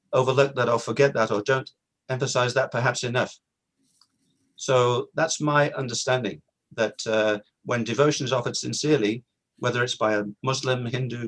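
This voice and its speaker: A man's voice: medium pitch, fairly bright tone. Middle-aged man speaking earnestly. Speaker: guest speaker. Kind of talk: informal talk at a virtual community event.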